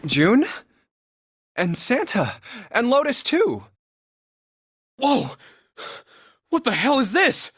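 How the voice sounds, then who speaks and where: Game lead: an exasperated, sardonic young man, measured pace, lively intonation. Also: extremely high pitch, slightly rough, no echo, full character voice. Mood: startled. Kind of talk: English video game voice acting.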